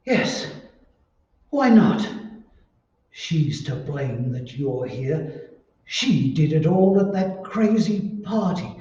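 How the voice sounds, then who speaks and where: An actor playing a meek, frightened, henpecked husband who stammers and hesitates, in an old-time radio drama. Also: male voice, on the high side, animated, slow, long pauses, warm tone. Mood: bitter, uneasy. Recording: very echoey, muffled, distant room recording.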